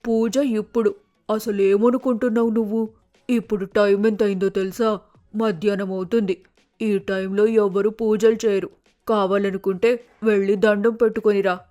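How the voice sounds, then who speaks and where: A woman's voice: mid-range voice, fairly even intonation, normal speed, mellow, faint music behind, lightly acted. A woman voicing a stern, practical mother bird in a cartoon moral story, nagging and scolding in a matter-of-fact way. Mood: suspicious, scolding.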